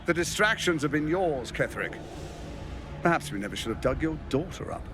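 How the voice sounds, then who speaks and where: A sarcastic posh villain, voice swoops up and down, music loud behind. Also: male voice, on the high side, big pitch swings, brisk. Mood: sneering. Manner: sarcastic, condescending, polished. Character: smug, scheming male noble villain. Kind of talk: video game cutscene acting. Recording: game audio with loud score.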